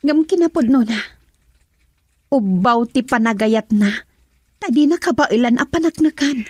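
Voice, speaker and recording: A woman's voice: fairly high pitch, lively intonation, a little slow, darkish tone, husky, no echo. A woman acting a heartbroken young daughter in an Ilocano radio drama, with an Ilocano accent. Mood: sad, resigned.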